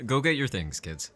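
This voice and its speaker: A man playing an affectionate, easygoing father and husband, chipper and gently teasing, in an audio drama. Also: medium pitch, heavily acted.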